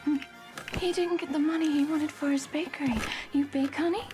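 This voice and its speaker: A woman's voice: sits high, steady tone, a little fast, music in the background, lightly acted. An actress playing a sweet, breezy young witch in a 1920s period film, with a coy, affectionate manner. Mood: breezy, sympathetic.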